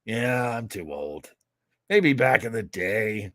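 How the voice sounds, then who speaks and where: Lively, slow-paced host, close and dry. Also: mid-range voice, no room sound. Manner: effusive, theatrical, playful. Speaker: podcast host. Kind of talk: casual livestream chat.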